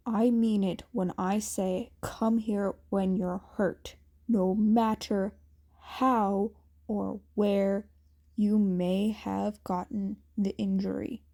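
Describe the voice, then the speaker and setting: A woman voicing a gruff, weary but caring adult male mentor, firm and reassuring, in a fan fiction reading. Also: mellow. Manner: firm, low-key, reassuring.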